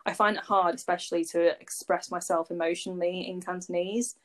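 A woman's voice: on the low side, fairly even intonation, fairly quick. A woman, chatting as a podcast guest in a rambling, conversational way full of fillers. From Warrington, England. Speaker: podcast guest, agency founder. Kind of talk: casual conversational interview.

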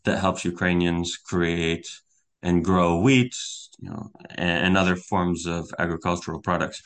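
Low, flat-voiced young man explaining. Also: unhurried. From United States. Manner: earnest, explanatory, with small restarts. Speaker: guest journalist. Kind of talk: podcast interview.

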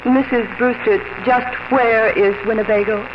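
A woman's voice: fairly high pitch, fairly even intonation, normal speed, natural voice. An actress playing a breezy, sociable East Coast woman, gushing and wryly teasing, in a 1950 radio drama. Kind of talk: 1950s radio drama acting. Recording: noisy, muffled old radio broadcast.

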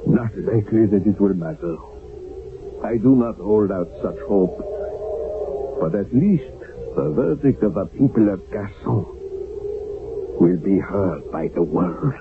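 An actor playing a weary, hopeless village leader in a radio drama, speaking formally, sternly and with resignation. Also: male voice, fairly low pitch, animated, a little slow, pauses often. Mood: resigned. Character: solemn, weary male village leader, without hope. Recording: muffled, noisy old radio broadcast.

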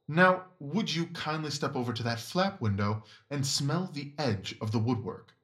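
Bloke doing a keen bossy gentleman detective for an audio drama, formal and clipped, voice swings around a lot. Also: fairly high pitch, expressive, moderate pace. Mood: instructive. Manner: instructive, clipped formal phrasing. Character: brisk, commanding, keenly observant gentleman detective. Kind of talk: audio drama acting of Victorian story.

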